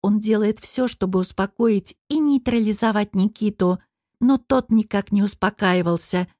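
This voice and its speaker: A woman reading first-person fiction as an expressive audiobook narrator. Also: mid-range voice, moderate pace, no echo, studio quality.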